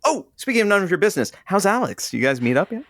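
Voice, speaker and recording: A woman playing a wry, nosy friend with teasing, deadpan quips in a casual audio drama. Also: mid-range voice, fast talker, no echo, clean studio sound. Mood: curious, teasing.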